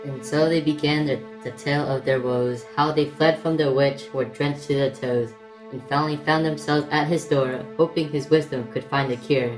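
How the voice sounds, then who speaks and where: Very low-voiced woman as a rhyming storyteller. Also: fairly even intonation, lightly acted, rough recording. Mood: solemn storytelling. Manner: measured, rhythmic verse delivery. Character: solemn storyteller narrating a quest in rhyme. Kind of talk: scripted rhyming narration. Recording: music behind the narration.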